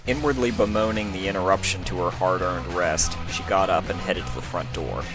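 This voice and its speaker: Low-voiced man narrating a story. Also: fairly even intonation, normal speed, music in the background. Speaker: fan fiction reader, narrator.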